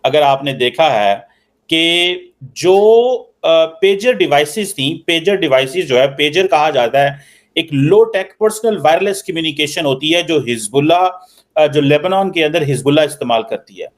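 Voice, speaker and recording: A man's voice: on the high side, lively intonation, measured pace. A man with a Pakistani Punjabi accent hosting a political discussion, repeating his phrases as he questions his guest. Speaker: interviewer, show host. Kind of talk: animated political discussion in casual talk.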